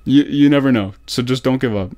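A man's voice: medium pitch, brisk, mellow. A young man coaching casually on a call, slangy and encouraging, with emphatic repetition, at a desk mic in a small room at home. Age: young adult. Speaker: gaming coach. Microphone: desktop condenser mic on desk.

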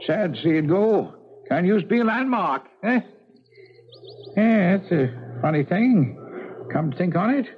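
Old rustic local gossip, high voice, rambly, dull old radio drama sound. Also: male voice, very animated, measured pace, long pauses. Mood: folksy, gossipy. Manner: folksy, rambling rustic talk. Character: folksy old New Hampshire local man, gossipy. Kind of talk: 1970s radio drama acting. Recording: lo-fi 1970s radio, faint noise.

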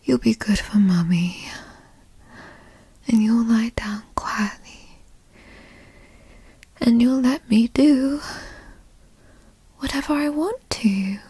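A woman playing a doting, possessive yandere girlfriend who teases and coos in a soft, breathy ASMR roleplay whisper, close to the mic. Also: a little high, dry recording, exaggerated voice. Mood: teasing. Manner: slow, breathy, cooing, teasing. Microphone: close microphone, dry.

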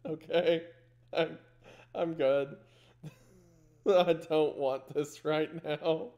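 Slow-talking, higher-voiced man reacting casually. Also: fairly even intonation, darkish tone, lo-fi sound. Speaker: streamer reacting to horror videos. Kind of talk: casual live reaction commentary.

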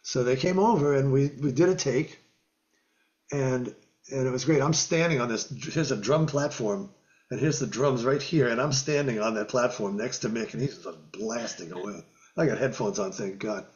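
Older male guest, lively raconteur, mid pitch, slightly muffled webcam call. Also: normal speed. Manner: animated storyteller, acts out quotes and guitar sounds, laughs often. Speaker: guest musician. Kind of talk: storytelling in a casual interview. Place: home music room with guitars on the walls. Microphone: video call webcam.

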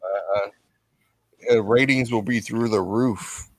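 A man's voice: fairly low pitch, slow pace, no echo, rough recording. A man in casual joking banter on a group video call.

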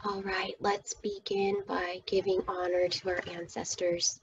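Slow-speaking woman guiding a meditation. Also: fairly low pitch, level tone, lo-fi sound, slight background noise. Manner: soothing, often dropping to a breathy whisper. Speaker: guided meditation teacher. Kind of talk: guided meditation narration.

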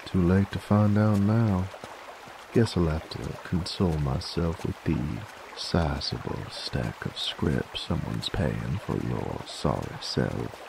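A man playing a cocky, dominant werewolf bounty hunter in an ASMR roleplay, with a gravelly cowboy drawl and a teasing, folksy manner. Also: takes it slowly, noisy background, full character voice. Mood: dry, weary. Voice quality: deep, gravelly. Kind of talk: ASMR audio roleplay, in-character monologue. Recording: steady trickling stream ambience behind. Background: running water, outdoor nature ambience.

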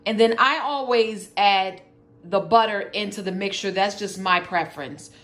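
A woman's voice: medium pitch, normal speed, a bit bright. A woman hosting a home cooking video, explaining a recipe step by step in a casual way. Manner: plain step-by-step explaining, listing ingredients. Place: home kitchen, dining table.

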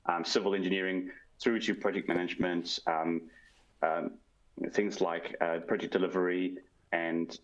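A man's voice: sits low, lo-fi sound. A man in his forties with a South African accent, a guest answering interview questions over a video call from home, fluent but with frequent ums and uhs. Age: 40s. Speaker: guest, product strategy manager. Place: home room. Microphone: headset on video call.

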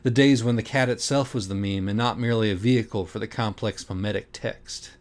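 A man reading a parody audiobook in a dramatic, deliberate, mock-earnest way. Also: lowish voice, fairly even intonation. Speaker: audiobook reader. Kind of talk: dramatic scripted narration of a parody children's book.

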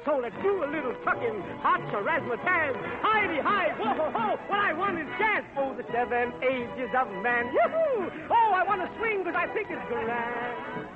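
An indignant poet turned swing fanatic, extremely high and swooping. It is 1930s radio musical comedy, thin as a phone line, with loud music. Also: male voice, big pitch swings, moderate pace. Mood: exuberant.

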